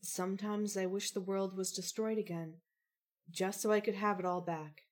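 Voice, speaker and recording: A woman playing a gentle, grieving young woman in an audio drama, speaking tentatively and carefully. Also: mid-range voice, steady tone, normal speed, lightly acted. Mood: wistful. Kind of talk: fan fiction audio drama acting.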